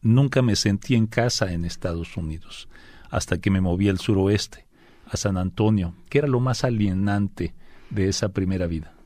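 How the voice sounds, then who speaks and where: A man with a moderately low voice hosts a literary interview. He speaks formally and courteously, in measured phrases with some hesitation. The studio sound is dry. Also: a little mellow, no echo. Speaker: radio interview host.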